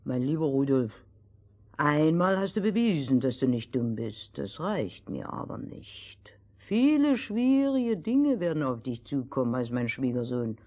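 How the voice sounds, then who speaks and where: A stubborn, scheming king from a children's fairy tale, acted out by a woman. He speaks in slow, husky tones with pompous authority and draws out his commands. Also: rumbling low, very animated, mellow, full character voice.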